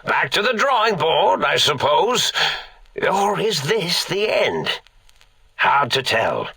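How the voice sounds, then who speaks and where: A man playing an eccentric, forgetful old mad scientist in a video game, raspy and theatrical, rambling along with pompous, absent-minded manner. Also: fairly high pitch, big pitch swings, slow pace, sharp tone, dry recording, heavily acted. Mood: wistful, musing. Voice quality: raspy, creaky. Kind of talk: video game character acting.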